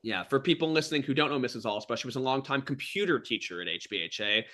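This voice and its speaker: Bright-voiced man hosting a conversation. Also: mid-range voice, animated, fast. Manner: enthusiastic, affirming. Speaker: podcast host interviewing a former colleague; school teacher. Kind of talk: casual conversational interview.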